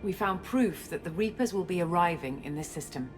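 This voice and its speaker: Woman acting a resolute, low-voiced female scientist, music behind. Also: animated, fairly quick, lightly acted. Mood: composed, explaining. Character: resolute, guarded female scientist and human operative in space. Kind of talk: video game cutscene acting. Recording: music under the dialogue.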